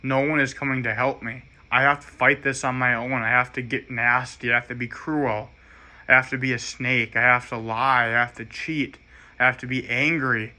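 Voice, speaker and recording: An American man giving a rambling, halting personal monologue in an earnest, aggrieved manner. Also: mid-range voice, flat delivery, bright, forceful tone.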